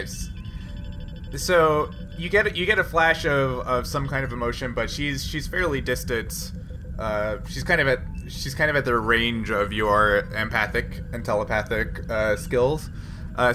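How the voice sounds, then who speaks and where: A man narrating a tabletop game as game master, thinking aloud in a halting way as he improvises. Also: medium pitch. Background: music.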